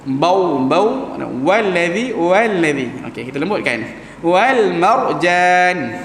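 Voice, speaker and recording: A man leads a Quran recitation lesson, with a slightly high, gravelly voice. He talks informally and stretches out Arabic sounds slowly when he demonstrates them. His voice rings through a large mosque hall with a long, echoing reverb and a faint hum behind it. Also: very animated, fairly bright tone. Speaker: Quran teacher.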